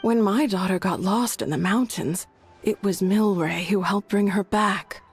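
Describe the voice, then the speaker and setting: A man voicing a grateful, worried villager father in a game, with a husky voice. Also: high voice, measured pace, soft background music, exaggerated voice. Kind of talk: English game voice acting.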